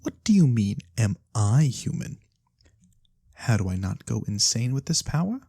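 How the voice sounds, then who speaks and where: An ASMR roleplayer voicing a sly, mysterious lorekeeper who tells fantasy tales for coin, soft-spoken, breathy and theatrical, with deliberate pauses. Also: mid-range voice, very animated, no room sound, clean studio sound. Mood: knowing, sly. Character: sly mysterious nonhuman lorekeeper, fortune-telling storyteller for coin.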